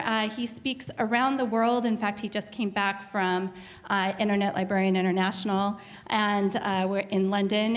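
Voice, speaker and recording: Woman director giving a hesitant introduction. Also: mid-range voice. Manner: hesitant, many filler sounds. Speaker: school director introducing the speaker. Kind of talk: formal introduction.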